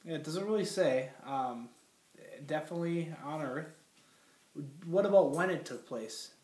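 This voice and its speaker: A man's voice: fairly high pitch, unhurried, a little mellow. A young man from Minnesota with a cold, teaching a classroom lesson and reading a story aloud in a plain, teacherly way with many fillers. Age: young adult. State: has a cold. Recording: roomy sound from far off.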